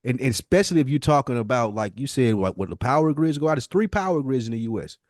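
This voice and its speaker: A man's voice: mid-range voice, expressive, fast talker, darkish tone, dry recording, clean studio sound. An American man in casual podcast chat, talking bluntly and emphatically with plenty of slang and swearing. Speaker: podcast co-host.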